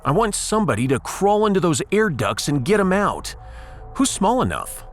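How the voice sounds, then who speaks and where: Man playing an animated, exasperated starship captain. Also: high-pitched, moderate pace, faint music behind. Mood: commanding. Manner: emphatic, sarcastic, commanding. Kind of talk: comic audiodrama acting.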